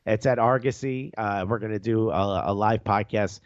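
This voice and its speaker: A man's voice: lowish voice, moderate pace, no room sound, muffled recording. A man chatting as a podcast guest, laughing often between jokes. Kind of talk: casual podcast chat.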